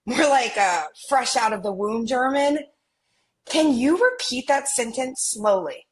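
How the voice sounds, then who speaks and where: A woman's voice: on the high side, expressive, unhurried, low-quality recording. A woman playing a well-meaning, naive millennial American twin in a comedic script read. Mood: oblivious.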